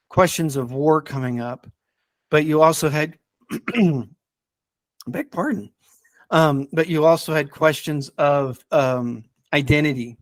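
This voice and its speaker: A man in a casual podcast discussion, speaking in a hesitant, halting way and often repeating words as he reviews stories. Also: a little high, no echo.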